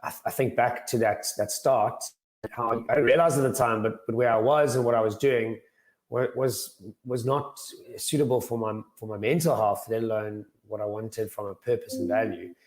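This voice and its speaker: A young man with a slightly croaky voice, answering interview questions earnestly and often repeating words as he starts sentences. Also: mid-range voice, steady tone, moderate pace, a little mellow, low-quality recording. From South Africa. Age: young adult. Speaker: guest financial planner.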